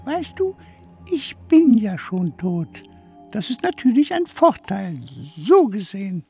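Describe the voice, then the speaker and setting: A woman's voice: medium pitch, big pitch swings, takes it slowly, a little mellow, slightly rough, faint music behind, full character voice. A woman playing a good-natured, vain little ghost in a children's radio play, with a theatrical, plaintive delivery. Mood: gently musing.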